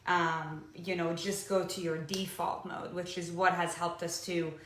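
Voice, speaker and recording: Bright-voiced woman chatting candidly. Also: on the low side, little pitch movement, measured pace, echoey room, a little hiss or hum. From Israel. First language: not English. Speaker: co-host of a coaching show. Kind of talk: casual, explanatory chat.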